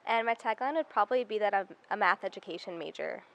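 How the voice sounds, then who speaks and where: A woman's voice: mid-range voice, quick pace, vocal fry. A nineteen-year-old woman answering a campus interview, easygoing and chatty. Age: teens. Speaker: student interviewee.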